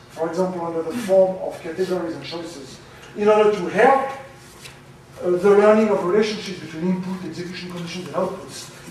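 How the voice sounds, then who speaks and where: A man giving a conference talk, loud and halting, with many fillers. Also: sits high, a little hiss or hum. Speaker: conference speaker. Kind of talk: academic presentation. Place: lecture room. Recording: very echoey, from far off.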